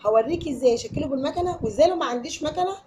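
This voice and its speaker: Fast-talking Egyptian woman with a tired voice. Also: high-pitched, lively intonation, noisy background. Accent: Egyptian Arabic. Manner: chatty, addresses viewer directly, step-by-step. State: tired voice from a cold. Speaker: home cook. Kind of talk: cooking tutorial. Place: home kitchen.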